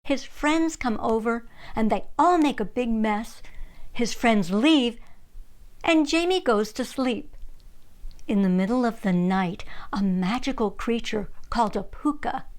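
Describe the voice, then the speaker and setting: A woman reading a children's picture book aloud as an expressive storyteller. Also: a little high, takes it slowly. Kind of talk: expressive scripted read-aloud narration for children.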